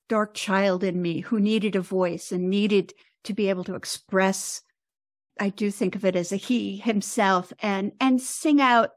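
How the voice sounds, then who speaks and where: An American woman of seventy talking reflectively as an interview guest, with thoughtful, halting phrasing. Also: mid-range voice, dry recording, clean studio sound. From United States. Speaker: podcast guest, composer and author.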